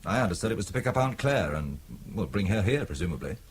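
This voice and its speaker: Man playing an earnest amateur agent, very changeable pitch. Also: very animated, fast, a little hiss or hum. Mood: guarded. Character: earnest, indignant male amateur agent caught in intrigue. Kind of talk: radio spy drama acting.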